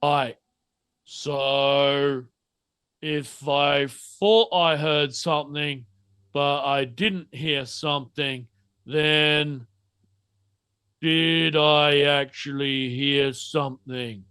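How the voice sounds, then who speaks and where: A man voicing a dim-witted mutant guard and would-be shopkeeper in a tabletop game, with slow, halting, muddled speech. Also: a little high, steady tone, bright, forceful tone, clean tone, no room sound, clean studio sound, heavily acted. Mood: puzzled, muddled.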